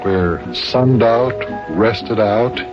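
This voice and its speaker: A man narrating and hosting an old-time children's radio serial with expressive, deliberate storyteller phrasing. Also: fairly low pitch, dull audio, over music.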